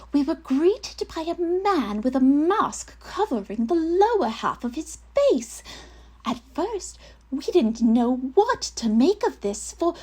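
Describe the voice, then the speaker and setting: A woman playing a naive, prim young Victorian English gentlewoman in a mannered, comic theatrical monologue, speaking her letter with arch, genteel earnestness. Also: high voice, full character voice.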